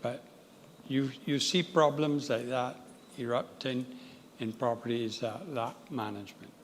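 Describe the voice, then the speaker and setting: A man on a webinar panel speaking deliberately, making his point with a plain analogy. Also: mid-range voice, slight background noise. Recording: reverberant room.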